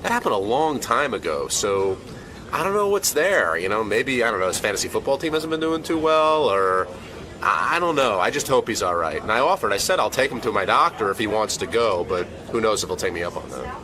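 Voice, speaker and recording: A man's voice: lowish voice, very animated, brisk, sharp tone, slight background noise. A man giving a casual, hesitant comment about a colleague. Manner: hesitant, unsure.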